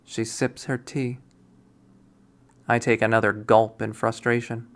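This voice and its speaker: A narrator reading a visual novel aloud, quiet and measured, softly voicing the characters. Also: medium pitch, flat delivery.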